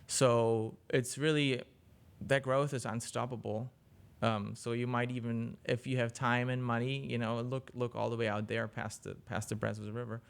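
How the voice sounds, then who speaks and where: A man, answering interview questions casually as a journalist, often pausing mid-sentence. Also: mid-range voice, flat delivery, vocal fry, clear, resonant tone. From Germany. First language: German. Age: 40s. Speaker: interview guest, business journalist.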